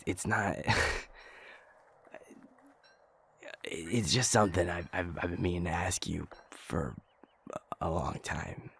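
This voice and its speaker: A man acting a young guy in an intimate audio roleplay, with a low, gravelly, husky voice and casual, sweary, naturalistic delivery. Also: a bit bright, a little hiss or hum, full character voice. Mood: hesitant, tender. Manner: casual, sweary, halting, slangy banter.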